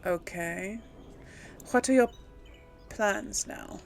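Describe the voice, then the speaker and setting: A woman's voice: medium pitch, drawn-out words, slight background noise. A woman hosting a casual let's play, musing aloud informally and hesitantly as she talks and role-plays through a game. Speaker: gaming video host.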